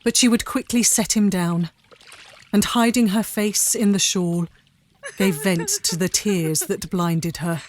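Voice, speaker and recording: A female narrator tells a period story with solemn drama. Her pace is very slow and measured. The pitch is medium for a woman. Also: steady tone, slight background noise.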